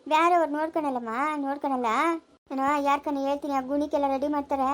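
A woman's voice: sits high, steady tone, fast, lightly acted. A woman playing an elderly village neighbour in a drama, consoling in a blunt, fatalistic way with a rural southern Karnataka Kannada accent. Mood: scolding.

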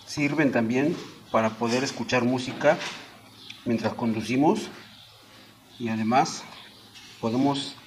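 A middle-aged man narrating a hands-on product tutorial, explaining deliberately step by step in short phrases. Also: medium pitch, slight background noise, poor audio. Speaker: product demonstrator, host. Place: small indoor room at home.

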